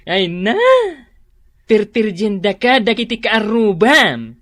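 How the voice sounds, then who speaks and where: A woman's voice: medium pitch, slow pace, fairly bright tone, no echo, studio quality. Sassy Ilocano market vendor woman, drawling. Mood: disgusted, teasing. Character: sassy gossipy air freshener vendor, comic neighbour woman. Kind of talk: comedy radio drama acting.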